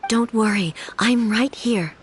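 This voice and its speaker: A woman voicing a calm, regal woman ruler in a video game, speaking in a measured, formal and quietly grave way. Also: fairly high pitch, slight background noise, close to an everyday voice.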